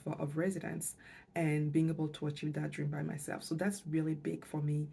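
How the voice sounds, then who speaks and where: Casting: middle-aged female vlogger, mother tongue, deep warm voice, hesitant and reflective delivery. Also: vocal fry, slightly rough. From Canada, moved there with parents, lived in Montreal. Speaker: financial coach and vlogger. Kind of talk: personal vlog talk to camera.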